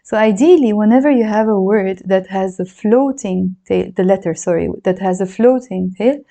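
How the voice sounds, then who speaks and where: A native Arabic-speaking woman with a Lebanese accent talks a class through writing letters. Her voice is mellow and soft, set at an ordinary middle pitch. She chats along, encouraging and counting strokes as she goes. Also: moderate pace, vocal fry. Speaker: Arabic teacher. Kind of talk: handwriting lesson, demonstrating while talking.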